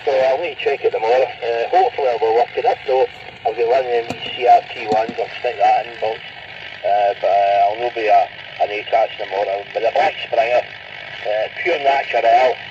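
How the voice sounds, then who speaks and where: A man's voice: mid-range voice, steady tone, normal speed. A Scottish man chatting casually as an operator over a CB radio link. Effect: CB radio transmission. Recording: dull, noisy CB radio link.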